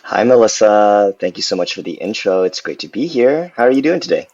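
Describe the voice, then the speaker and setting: A young man from Southern California speaks as an interview guest, his voice very low and his delivery animated and chatty, full of ums and laughs. The sound is dry and a little dull, like a modest lo-fi recording. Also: a little fast, no echo. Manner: animated, laughs often, frequent ums and likes. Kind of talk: conversational interview.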